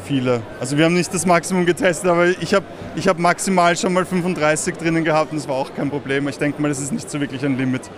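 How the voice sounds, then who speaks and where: A man explaining his product at a fair stand, talking fast and casually. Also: a little high, crowd noise. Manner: quick, casual, laughs often. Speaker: startup founder at a fair stand. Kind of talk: interview answers. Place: busy indoor fair hall.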